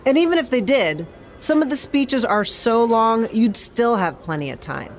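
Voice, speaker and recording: A woman's voice: a little high, lively intonation, normal speed, slight background noise. A woman playing a friendly, gracious veteran wrestling star in a video game cutscene.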